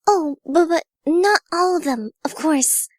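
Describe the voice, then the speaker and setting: Woman doing sweet young pegasus pony, very high pitch, soft, soothing, kindly, dry close sound. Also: lively intonation, a little slow, dry recording. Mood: polite.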